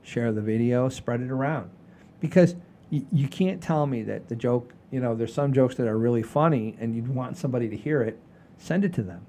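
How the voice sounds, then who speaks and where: An older man hosting a casual podcast, rambling in a folksy, halting way with repeats and the odd mild swear. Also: mid-range voice, dark tone, slight background noise. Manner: folksy, mildly profane, halting and repetitive. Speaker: podcast host.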